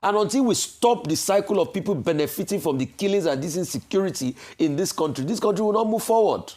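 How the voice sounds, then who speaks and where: A Nigerian man giving a security commentary on television, forceful and full of rhetorical questions. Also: high-pitched, expressive, fast.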